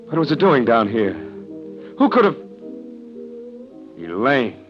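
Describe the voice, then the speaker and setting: An actor playing a distraught young man who speaks haltingly in an old-time radio drama. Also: medium pitch, sing-song. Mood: shaken, bewildered. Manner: halting, stammering. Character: distraught young man, an heir accused of murder. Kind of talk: 1940s radio acting. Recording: muffled old radio recording, music behind.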